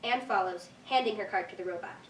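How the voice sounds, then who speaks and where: A woman's voice: mid-range voice, fast talker. A young woman reading stage directions aloud as scripted narration at a staged reading. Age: young adult. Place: echoey indoor room at a staged reading. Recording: echoey room, distant mic, faint noise.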